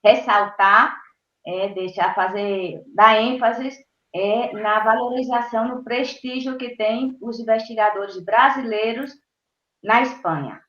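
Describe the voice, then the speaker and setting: A woman from Brazil, a university professor, giving a webinar talk over a video call. She speaks haltingly and stops often to search for words. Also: a little high, fairly bright tone. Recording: roomy, dull video-call audio.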